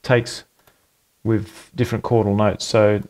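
A man's voice: fairly low pitch, little pitch movement, unhurried, a little mellow. A man in his forties casually walking through how he recorded a song at home, rambling with fillers and self-corrections. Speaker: home music producer and channel host. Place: small home studio room at a computer desk.

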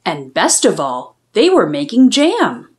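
Slow, lilting woman reading to children. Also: on the low side. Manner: expressive, deliberate, sing-song phrasing for preschoolers. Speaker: children's read-aloud storyteller. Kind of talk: scripted picture-book read-aloud for young children.

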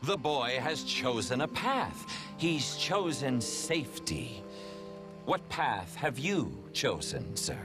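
A serene male cult preacher in a game drama. He is sanctimonious and smug, his mid-pitched voice rising and falling freely over background music. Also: very animated, takes it slowly. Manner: pompous, sanctimonious, lilting. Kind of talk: dramatic video game acting.

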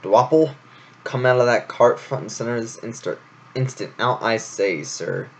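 A man's voice: medium pitch, moderate pace, natural voice. A man voicing a blustering, pompous male hare who leads a troupe, scolding theatrically. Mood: indignant.

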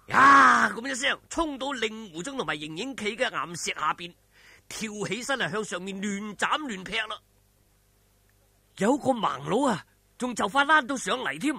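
A man's voice: high-pitched, vocal fry, pushed and bright, slightly rough, no echo. A man with a Hong Kong Cantonese accent telling a martial arts story in a dramatic, colloquial way, with exclamations and vocal sound effects. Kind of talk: animated oral storytelling of a martial arts novel.

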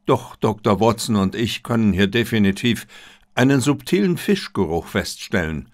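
A man reading the part of a confident, gently mocking detective with an assured, lecturing manner in an audiobook. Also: lowish voice, expressive, normal speed, no echo, clean studio sound, natural voice. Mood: brisk, assured.

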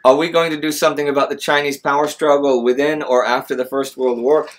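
Male American host, middle-aged, medium pitch, informal live stream chat. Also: level tone, fast talker. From United States. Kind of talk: casual chat, answering audience questions. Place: small indoor room, seated.

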